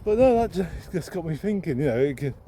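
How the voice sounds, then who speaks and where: A man's voice: fairly high pitch, big pitch swings, fast talker, mellow. A man talking casually and unscripted, thinking aloud in a hesitant, rambling way as he records a vlog while walking outdoors. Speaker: second-hand bookseller and vlogger. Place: outdoors, walking along a town street. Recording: outdoor street noise with passing traffic. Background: street ambience and vehicles.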